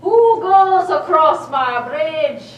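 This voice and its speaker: A greedy troll from a children's puppet show, acted by a woman, declares each line slowly and with menace. The voice is high for a woman and comes from off the mic in an echoey room with faint noise. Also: animated, lo-fi sound, heavily acted. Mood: menacing. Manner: slow, drawn-out, booming declarations. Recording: roomy, distant mic, faint background noise.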